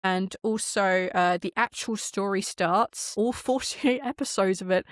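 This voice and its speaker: A woman chatting casually as a podcast guest, telling things in an animated, enthusiastic way. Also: mid-range voice, a little slow, no room sound, studio quality. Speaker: podcast guest, audio drama creator and game master. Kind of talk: casual interview chat.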